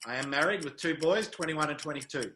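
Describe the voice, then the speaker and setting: A man's voice: a little high, fairly quick, bright, forceful tone, slight background noise. A New Zealand man in his fifties, giving short, dry, good-humoured interview answers with hesitant fillers. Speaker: interview guest, travel sales manager.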